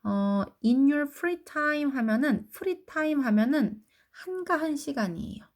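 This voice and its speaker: A woman teaching English grammar to children, explaining each answer patiently and reading English sentences slowly before translating them. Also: high voice, lively intonation. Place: academy lecture recording.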